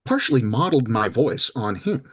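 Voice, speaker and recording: Animated man chatting about narration. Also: a little fast, slightly rough. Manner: animated storyteller, acts out quoted lines with big pitch jumps. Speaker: audiobook narrator guest. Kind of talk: casual interview chat.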